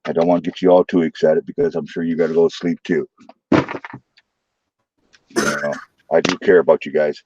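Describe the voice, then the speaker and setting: A man with a St. Louis accent hosting a late-night live stream from his room, joking loudly and swearing freely. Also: rumbling low, level tone, normal speed, pauses often, dry recording, dull audio. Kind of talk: casual live stream chat.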